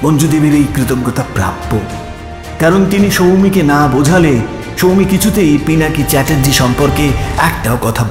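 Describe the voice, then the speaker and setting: A man's voice: on the high side, close to an everyday voice. A man narrating a suspense audio drama as a solemn storyteller, in dramatic scripted style. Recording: echoey long reverb, music behind.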